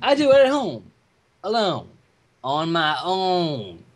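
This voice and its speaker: A man's voice: high voice, wildly swooping pitch, slow pace, heavily acted. A man voicing a stubborn, grumbling female pony farmer in a folksy, reluctant manner for a story reading. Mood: defensive.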